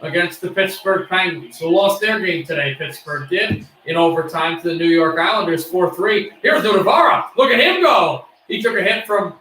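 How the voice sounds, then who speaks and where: A man doing amateur play-by-play of a hockey game, excitable and bursting into shouts on shots, his voice getting worn. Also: high voice, moderate pace, few pauses, very echoey, distant mic, slight background noise, poor audio. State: losing his voice. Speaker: play-by-play streamer.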